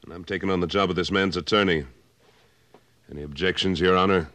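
Tough cattleman, extremely deep voice, terse, firm, old-time radio western. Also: fairly even intonation, a little fast, a bit bright. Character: tough, steady Civil War veteran turned cattleman.

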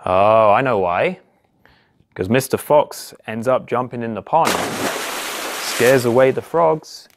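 A man reads a story aloud to young children in simple, expressive narration, posing questions and exclaiming as he goes. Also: medium pitch, slow, slight background noise. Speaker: police constable reading a children's story. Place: small indoor office or home room.

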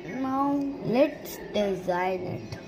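A woman of middle pitch walks through a digital painting, one small step at a time. She talks slowly in short, plain phrases. Music plays clearly behind her voice-over. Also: expressive, rough recording. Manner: simple instructions in short broken phrases. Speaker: art teacher. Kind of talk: step-by-step drawing tutorial. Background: background music.

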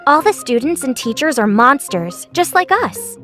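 High, bubbly young giant girl. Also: moderate pace, soft background music. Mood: chatty, confiding. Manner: excitable, chatty. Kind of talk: animated children's story dialogue.

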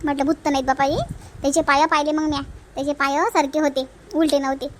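Woman acting bossy village woman, very high voice. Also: level tone, quick pace, a little hiss or hum, close to an everyday voice. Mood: excited. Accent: Vidarbha Marathi. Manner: chiding, reassuring, emphatic. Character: bossy, caring village women of a cartoon family. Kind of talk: comedy cartoon family dialogue.

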